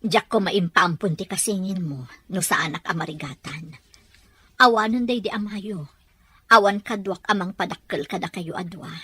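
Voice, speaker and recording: A woman playing a tender, sorrowful mother with a husky voice and a Filipino accent in an audio drama. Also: on the low side, moderate pace, pushed and bright, dry recording. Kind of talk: Ilocano audio drama acting.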